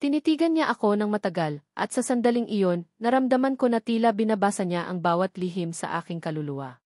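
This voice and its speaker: A woman's voice: mid-range voice, animated, brisk, darkish tone, no room sound, clean studio sound. A woman with a Filipino accent narrates a scripted first-person romance story in an emotive way, setting short phrases apart for drama.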